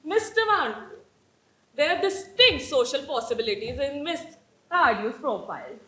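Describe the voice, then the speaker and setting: An older Victorian aristocratic aunt, condescending and grandly theatrical. Her high voice swoops widely as she declaims with pompous authority. It is stage comedy, recorded in a roomy, echoing space. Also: very animated, normal speed, sharp tone, heavily acted. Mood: imperious, approving. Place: indoor stage in a roomy hall. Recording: echoey amateur stage play recording.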